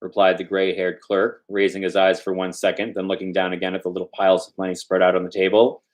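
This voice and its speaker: A man's voice: low voice, monotone, fast. A man reading a story aloud informally as host, stumbling over words now and then and slipping in loose asides.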